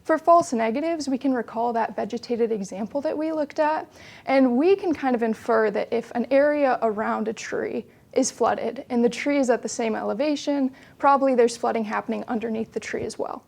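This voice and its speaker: A woman's voice: on the high side, normal speed, vocal fry, a little mellow. A young adult woman giving an explanatory research presentation as a student, in an orderly, plain-spoken way.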